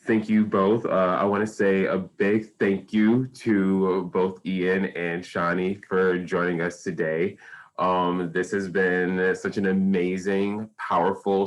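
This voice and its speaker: A man's voice: low voice, poor audio. A man moderating a panel on a video call, asking open questions and thanking the guests.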